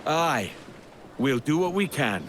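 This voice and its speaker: An actor playing a roguish, easygoing young pirate captain in a video game, with colloquial, self-assured sailor talk. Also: male voice, fairly high pitch, very animated, slow, a bit bright, slight background noise, heavily acted. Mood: steady. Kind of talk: video game cutscene acting.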